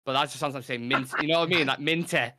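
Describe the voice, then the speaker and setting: A man with a Mancunian accent hosting a live football podcast, briskly reading out viewer comments and chatting. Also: medium pitch, pushed and bright, no echo, studio quality. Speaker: host.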